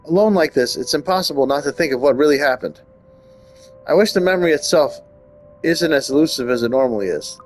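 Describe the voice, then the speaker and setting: A man's voice: fairly high pitch, animated, a little fast. A man hosting a gaming video, reading game text aloud and adding casual comments. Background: game music.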